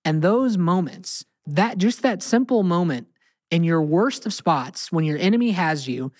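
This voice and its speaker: A man's voice: high voice, lively intonation, measured pace. An American man co-hosting a casual Bible discussion, speaking earnestly and emphatically, often repeating phrases for weight. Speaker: podcast co-host and Bible teacher.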